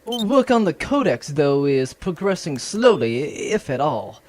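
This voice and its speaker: Actor playing a formal, deadpan military commander. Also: medium pitch, unhurried. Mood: formal, reporting. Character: dutiful, dry military commander reporting to a baron. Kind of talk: comedic sci-fi audio drama acting.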